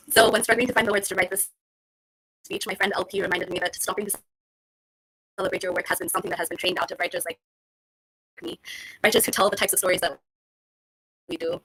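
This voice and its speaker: Bright, husky, emotional woman from Kenya. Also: mid-range voice, poor audio. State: emotional, tearful. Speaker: author accepting an award. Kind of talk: award acceptance speech.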